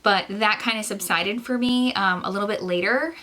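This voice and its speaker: Young female vlogger, bright tone, nasal from congestion, slightly breathless, loose chatty delivery full of "like" and "um". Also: medium pitch, moderate pace. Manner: chatty, rambling with frequent "like" and "um"; laughs often between phrases. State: stuffy, congested nose; short of breath. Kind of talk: casual chat to camera.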